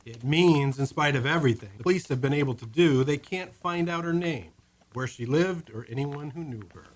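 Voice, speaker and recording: A man's voice: on the high side, normal speed, close to an everyday voice, low-quality recording. An actor playing a matter-of-fact male morgue attendant in an old-time radio drama. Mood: grim.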